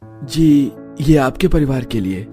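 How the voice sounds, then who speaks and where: A man playing a gentle, grateful rich man with a soft, polite manner in a cartoon. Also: mid-range voice, lively intonation, takes it slowly, soft background music. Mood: gentle gratitude.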